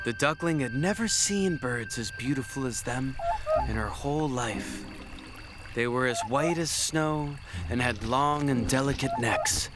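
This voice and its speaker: A man's voice: medium pitch, a little slow. A man reading a scripted children's bedtime story as the narrator, with music behind him.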